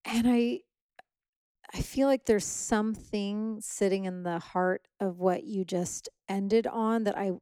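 A woman's voice: medium pitch, fairly even intonation, takes it slowly, dark tone, clear, resonant tone, dry recording, studio quality. A woman interviewing a guest, asking probing questions and searching for her words. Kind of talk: conversational interview.

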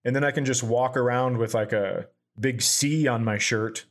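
A man's voice: mid-range voice, moderate pace. A man, a comedian hosting a podcast, telling profane and exaggerated stories in casual chat.

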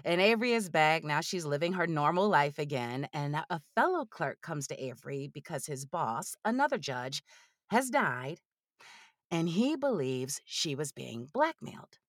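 A woman who narrates audiobooks, speaking as an interview guest in an animated, conversational storytelling way. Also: low voice, moderate pace, no echo, clean studio sound.

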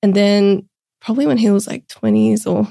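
Young woman, average pitch, chatty and upbeat, dry close recording. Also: moderate pace, gravelly, mellow, no room sound. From Australia. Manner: chatty, upbeat, many "like" fillers. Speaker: podcast guest. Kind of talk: casual interview chat.

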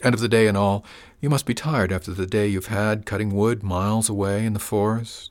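A man's voice: fairly low pitch, steady tone, fairly quick, lightly acted. A man voicing a falsely friendly male gang boss who drawls with oily, mock-wounded charm. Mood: smarmy menacing.

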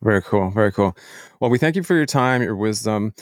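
A man hosting a casual interview, speaking in a halting, appreciative way to his guest. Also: lowish voice, lively intonation, dry recording, studio quality. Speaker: podcast host.

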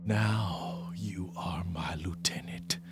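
An actor playing a jealous, vengeful military commander and tormented husband, declaiming classical verse grandly and with passion in a radio play. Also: male voice, sits low, steady tone, slow. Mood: bitter, murderous.

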